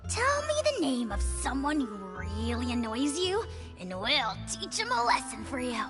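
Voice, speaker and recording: Boisterous game kid, childlike, very high pitch, lively swings, eager, music in the background. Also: female voice, measured pace, exaggerated voice. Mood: excited. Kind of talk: animated video game voice acting.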